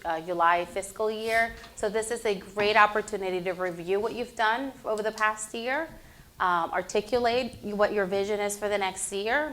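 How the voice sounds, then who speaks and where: A woman's voice: medium pitch, fairly bright tone. A middle-aged woman from Puerto Rico speaking informally as a meeting facilitator, in a conversational, encouraging manner. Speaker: meeting facilitator, municipal association field service manager. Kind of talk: informal introductory remarks to a council meeting.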